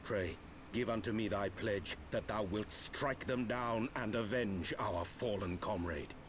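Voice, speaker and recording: An actor voicing a solemn, grave warrior who speaks formal archaic English in a dramatic game scene. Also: male voice, fairly low pitch, measured pace, lightly acted. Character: solemn archaic warrior grieving a fallen comrade. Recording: noisy game stream capture.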